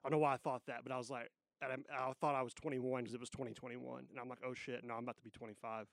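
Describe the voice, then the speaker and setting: A man's voice: mid-range voice, little pitch movement, gravelly, no echo, clean studio sound. A man in his twenties co-hosting a casual podcast, cracking teasing jokes over drinks. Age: 20s. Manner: joking, teasing, quick banter. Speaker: podcast co-host.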